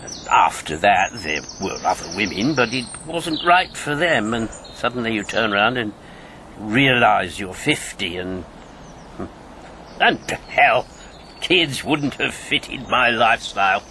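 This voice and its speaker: A man's voice: lowish voice, animated, a little slow, pushed and bright, exaggerated voice. An actor playing a talkative, needling British ex-agent in his fifties in a radio drama, rambling and sardonic. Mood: reflective, rueful. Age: 50s. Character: talkative, needling fifty-year-old male ex-wartime agent. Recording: clear background noise with birdsong.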